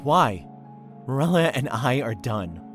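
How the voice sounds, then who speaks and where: A man's voice: mid-range voice, big pitch swings, unhurried, over music. A man playing a troubled, easily frightened young man in an audio drama. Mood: wary.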